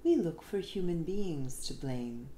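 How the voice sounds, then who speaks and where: A woman reading an essay aloud in a measured, expressive way, with deliberate pauses. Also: low voice. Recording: roomy echo with faint background noise.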